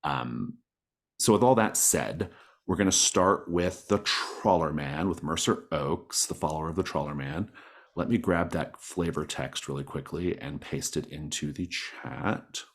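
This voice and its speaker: A man's voice: very deep voice, measured pace. A man speaking as game master of a tabletop roleplaying game on an online call, in an encouraging, accommodating manner. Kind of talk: casual explanation of a tabletop game. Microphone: online group call.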